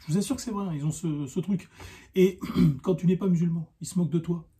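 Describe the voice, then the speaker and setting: Guy ranting off the cuff, high voice but soft dark tone, keeps repeating his point. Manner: insistent, argumentative, repeats himself, halting with rhetorical questions. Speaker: online video commentator. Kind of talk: unscripted opinion monologue to camera.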